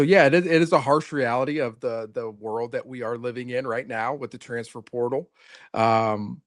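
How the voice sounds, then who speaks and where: A man's voice: medium pitch, dry recording, studio quality. A man co-hosting a casual sports podcast, talking earnestly and rambling, often restarting his sentences. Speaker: co-host, coach.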